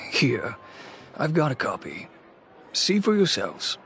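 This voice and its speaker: An actor playing a wary, weary businessman in a game, speaking in a measured, dry manner with frequent sighs. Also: mid-range voice, wildly swooping pitch, a bit bright, faint music behind, full character voice. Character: wary, weary male businessman, dryly polite. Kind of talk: game voice acting.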